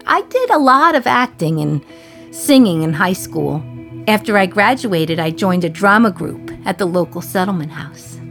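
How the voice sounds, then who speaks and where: A woman's voice: on the low side, expressive, moderate pace, a bit bright, soft background music. An actress playing a sardonic, resentful jailed woman in her thirties from 1950s New York, confiding her memories in a radio play monologue. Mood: fond, wistful.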